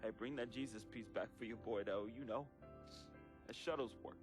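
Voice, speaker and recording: An actor playing a remorseful, devoted young man who confesses and says farewell in a dramatic video game monologue, with slangy phrasing and deliberate pauses. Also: mid-range voice, lightly acted. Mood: resigned, loving. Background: music.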